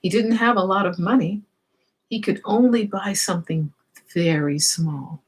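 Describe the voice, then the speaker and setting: A woman's voice: mid-range voice, unhurried, husky. A woman reading a children's story aloud, expressively, with explanatory asides and questions for young listeners.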